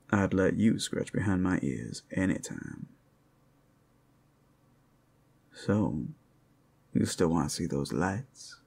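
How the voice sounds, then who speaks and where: Man playing a slow, gravelly flirtatious werewolf. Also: low voice, a little mellow, exaggerated voice. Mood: playful teasing. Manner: teasing, smooth-talking, addressing one listener. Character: playful flirtatious male werewolf, friendly and teasing. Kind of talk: ASMR roleplay, intimate character acting.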